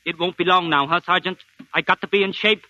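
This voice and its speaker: An old, dull-sounding radio drama recording with an eager former prizefighter. His voice is high and piercingly bright, and he talks in simple, broken sentences full of hope. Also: fairly even intonation, fast talker, no room sound. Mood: boastful, eager. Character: eager, punch-drunk former boxing champion, a hopeful barracks boy. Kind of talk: 1940s radio drama acting. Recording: dry, band-limited 1940s radio broadcast.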